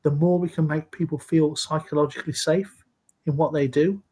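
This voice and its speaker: A man in his sixties from Scotland, speaking in a measured, earnest way as a guest in a live video-call interview. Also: a little high, fairly even intonation, darkish tone, breathy edge. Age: 60s. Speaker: interview guest, safety leader.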